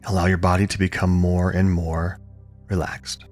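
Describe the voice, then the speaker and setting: A man with a low voice leads a guided yoga meditation. He is calm and soothing, giving gentle instructions in measured phrases with space between them. Faint music plays behind his voice. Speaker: yoga and meditation teacher leading a guided practice.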